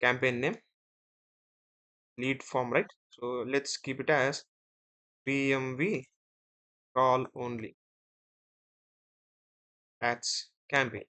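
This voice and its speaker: A man teaching as an instructor in a screen-share software tutorial, explaining step by step and often checking in with short tag words. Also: medium pitch, steady tone, very slow, long pauses. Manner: step-by-step, often ending phrases with "right?" and "okay".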